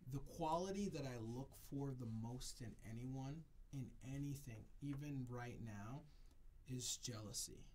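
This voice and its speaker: A man's voice: mid-range voice, unhurried, darkish tone, a little hiss or hum. A man from Jamaica, a DJ, talking in a casual interview and repeating himself to stress his points. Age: adult, moved to America as a child. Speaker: DJ, interview guest. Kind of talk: reflective casual interview talk.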